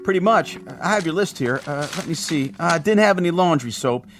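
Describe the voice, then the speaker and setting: A man's voice: on the high side, animated, brisk, a little hiss or hum, natural voice. A man playing a frightened small-town grocery delivery man in an audio drama, stammering and nervously agreeing. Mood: anxious, friendly.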